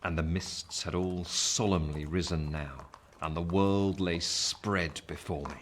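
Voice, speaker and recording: An actor playing a reflective man narrating his own youth in a literary radio play, measured and wistful. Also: sits low, fairly even intonation, a bit bright, a little hiss or hum.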